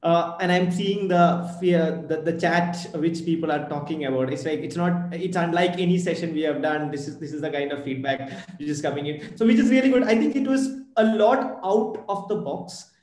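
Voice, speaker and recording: Young moderator in an echoey room. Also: male voice, on the high side, a little mellow. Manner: hurried, thankful, hesitant fillers. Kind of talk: closing a video call panel. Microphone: webcam on video call. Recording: roomy echo on call audio.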